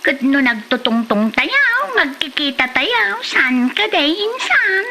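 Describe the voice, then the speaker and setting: A woman playing an expressive, fussy young woman in an Ilocano comedy drama, with an Ilocano accent. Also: high voice, takes it slowly, a little hiss or hum, full character voice. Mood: dismayed.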